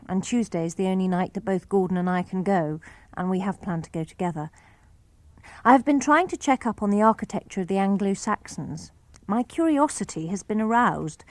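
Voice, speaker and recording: A woman reading a daughter's letters aloud for a radio broadcast, in an even, clear and composed manner. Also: mid-range voice, no echo. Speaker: reader of letters.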